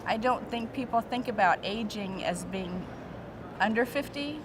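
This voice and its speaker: A woman's voice: on the high side, lively intonation, unhurried. A woman in her forties casually giving her opinion as an interviewee. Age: 40s. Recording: clearly noisy background.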